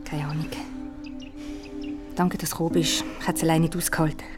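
A woman's voice: deep voice, flat delivery, fast talker, close to an everyday voice. An actress playing a harried, stoical mother and violinist in a radio drama, speaking in a colloquial, matter-of-fact way. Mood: tired, relieved. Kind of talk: naturalistic radio drama acting. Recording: music behind the voice.

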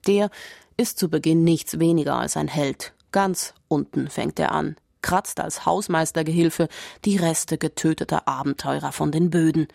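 A woman's voice: low voice, vocal fry, darkish tone, no room sound, clean studio sound. A woman working as a radio culture reporter, delivering scripted narration.

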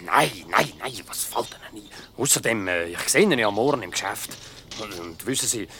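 A man's voice: on the low side, expressive, a crowd in the background. Flustered, stammering Swiss German man. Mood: nervous, flustered. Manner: stammering, evasive, halting. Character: flustered married male employee caught in a mix-up. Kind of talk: Swiss radio comedy acting.

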